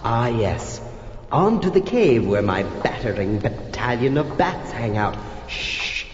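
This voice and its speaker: An actor playing a gloating, prankish vampire count in a campy horror comedy record, with a hammy, drawn-out, gleefully sinister delivery. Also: male voice, mid-range voice, very animated. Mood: sinister relish. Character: gloating theatrical male vampire count, menacing prankish villain. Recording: muffled, echoey, noisy old flexi-disc record.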